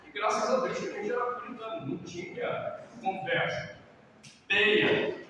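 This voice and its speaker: Middle-aged man, pastor, lively storyteller; distant mic in a reverberant hall with noise behind. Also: sits high, a little slow, muffled recording. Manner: lively storyteller, acts out quotes, laughs. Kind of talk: sermon-style talk with personal stories. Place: large church hall.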